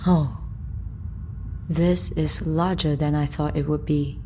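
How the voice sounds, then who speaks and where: A woman acting in an audio drama as a calm, curious undead young fighter with a measured way of talking. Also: low-pitched, slight background noise.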